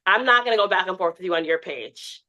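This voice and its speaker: A woman's voice: on the low side, quick pace, rough recording. Candid young woman telling stories. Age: 20s. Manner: candid, animated storytelling with quoted asides. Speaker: podcast guest, TikTok creator. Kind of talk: casual interview chat.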